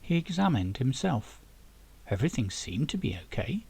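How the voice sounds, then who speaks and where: A man reads a story aloud in an audiobook style. His voice is husky and gravelly, and his pitch moves around in a lively way as he narrates. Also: normal speed. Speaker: author reading own novel.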